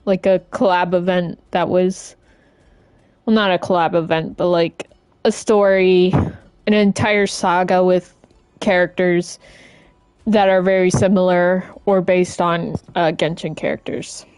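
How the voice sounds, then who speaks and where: Slow, casual woman game streamer. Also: fairly low pitch, flat delivery, a little mellow, smooth, dry recording. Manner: halting, rambling, informal. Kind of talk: casual live-stream chat while playing.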